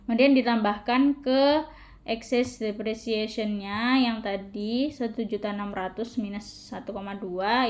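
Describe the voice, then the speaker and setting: A woman's voice: a little high, darkish tone. Young woman explaining step by step. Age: young adult. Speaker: university student presenter. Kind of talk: explaining a worked calculation.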